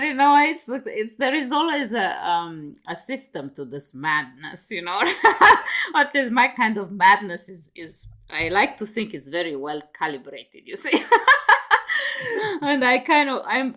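A woman's voice: mid-range voice, bright, forceful tone. A woman, a guest on a video call, talking animatedly with lots of laughter and "you know". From Romania. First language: Romanian. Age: 50s. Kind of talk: casual video call interview. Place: home room with bookshelves.

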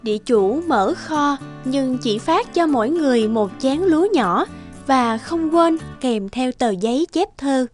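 High-pitched woman narrating a fairy tale. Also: lively intonation, unhurried, soft background music.

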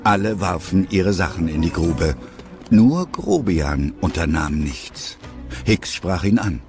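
Animated low-voiced male storyteller. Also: takes it slowly, faint music behind. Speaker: narrator. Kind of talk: scripted storytelling narration.

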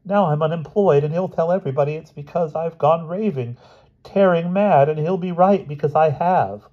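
Man voicing a distraught, stubborn mother, pitched up. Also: a little slow, highs cut off. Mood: moaning despair.

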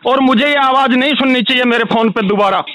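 Male caller, very high voice, furious and insistent, customer complaint rant, dry phone recording. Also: quick pace, bright, forceful tone, dry recording. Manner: angry, insistent, ranting, repetitive. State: angry. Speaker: complaining customer calling a helpline.

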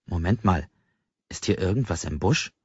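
A man with a fairly low voice narrates literary fiction. His delivery is expressive storytelling. The sound is dry, without room echo, and slightly dull. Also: moderate pace, slightly rough. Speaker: audiobook narrator.

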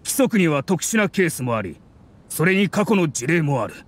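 Scornful male academic, anime game antagonist, higher-register voice, formal and condescending, faint ambience behind. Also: sing-song, normal speed, a little hiss or hum. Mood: confident. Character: haughty, scornful male academy scholar accusing a theater.

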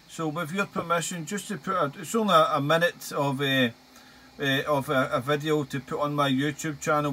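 A Scottish man with a slightly high voice talks on amateur radio from his shack. He is chatty and repeats himself, moving between casual talk and call signs. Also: bright, forceful tone. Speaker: amateur radio operator, hobby video maker. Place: small outdoor radio shack.

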